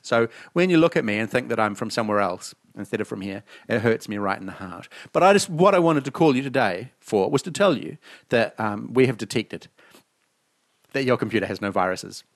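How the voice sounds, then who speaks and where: A man's voice: lowish voice, lively intonation, fairly quick, vocal fry. A man co-hosting a casual talk show, reading news aloud and ranting sarcastically, swearing freely. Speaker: podcast co-host.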